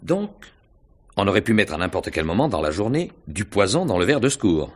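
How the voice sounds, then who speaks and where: An actor playing a shrewd, courteous police inspector in a French radio mystery play, questioning in a hesitant, deliberate way. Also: male voice, lowish voice, big pitch swings, slightly rough. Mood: probing.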